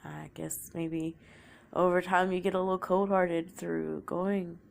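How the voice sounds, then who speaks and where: A woman's voice: lowish voice, little pitch movement, unhurried, gravelly, slight background noise. A young woman with a sore throat from a cold, telling her own story in a casual, confessional way and often trailing off into a whisper. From Oklahoma, United States.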